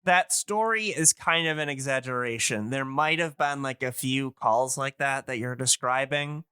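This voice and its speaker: A man's voice: medium pitch, moderate pace, sharp tone, smooth, no echo, studio quality. A man hosting a podcast in casual chat, explaining things enthusiastically and rambling as he searches for words. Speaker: podcast host.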